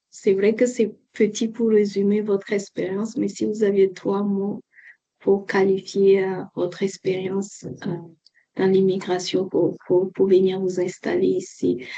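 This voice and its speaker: A woman's voice: mid-range voice, mellow. A woman interviewing a client for an immigration agency, polite, with fillers. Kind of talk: casual interview. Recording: muffled lo-fi recording.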